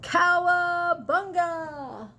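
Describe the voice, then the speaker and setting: A plucky young truck from a children's storybook, acted out by a woman, pitched high and calling out slowly. He is urgent and encouraging, rallying his team over faint background noise. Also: sharp tone, heavily acted. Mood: determined, excited.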